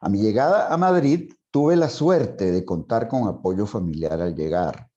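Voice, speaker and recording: Older Spanish-born male presenter, prepared reading, deep mellow voice, lo-fi sound. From Spain. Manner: measured, partly read aloud, with fillers. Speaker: speaker at a psychoanalysis talk. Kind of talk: reading a prepared personal account. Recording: dull lo-fi sound.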